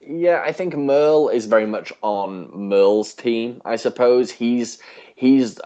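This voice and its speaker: A man co-hosting a podcast, chatting casually about a TV series in a hesitant, agreeable, measured way. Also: medium pitch, mellow, dull audio. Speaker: podcast co-host.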